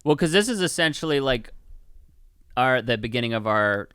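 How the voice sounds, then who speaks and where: Man, podcast co-host, clear smooth voice, close dry sound, casual slangy chat, sweary. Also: mid-range voice, expressive, normal speed, bright, forceful tone, no room sound. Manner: profane, slangy. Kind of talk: casual film review chat.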